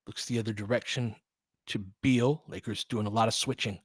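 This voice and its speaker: A man's voice: on the low side, steady tone, normal speed, husky, dry recording, clean studio sound. A man giving informal live basketball play-by-play as a fan-facing host, opinionated and conversational, in clipped phrases.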